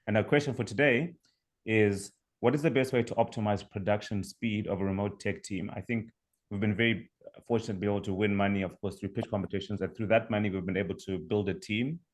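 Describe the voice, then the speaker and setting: A young man with a Zimbabwean accent and a lowish voice pitches his startup. He explains things in a measured way with small restarts, speaking over a video call. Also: fairly even intonation. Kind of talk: online pitch presentation.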